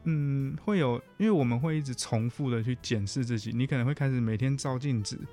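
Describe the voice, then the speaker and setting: A man's voice: mid-range voice, fairly quick, warm tone, soft background music. A man with a Taiwanese Mandarin accent explaining things as a guest counselor, informal and somewhat hesitant, often repeating himself. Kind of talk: casual explanatory interview talk.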